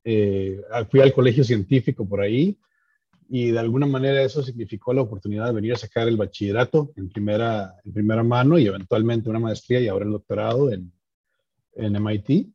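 A young Costa Rican man speaks as a podcast guest, his voice soft-edged and husky. He explains technical material in a halting way, thinking aloud. The audio is a little dull and lo-fi. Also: fairly low pitch. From San Carlos, Costa Rica. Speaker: podcast guest, doctoral student in engineering. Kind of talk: explanatory interview talk.

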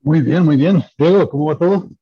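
A young man with a Costa Rican accent, explaining his work as an interview guest in a halting, think-aloud way. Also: on the high side, breathy edge, muffled recording. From San Carlos, Costa Rica. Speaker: podcast guest, doctoral student in engineering.

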